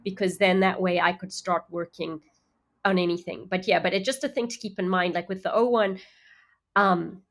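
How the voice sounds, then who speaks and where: A woman's voice: on the low side, steady tone, moderate pace. A woman from Zimbabwe who speaks with an American accent that carries faint Zimbabwean traces, chatting as an actor guest in an interview, full of fillers and restarts. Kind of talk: casual interview chat.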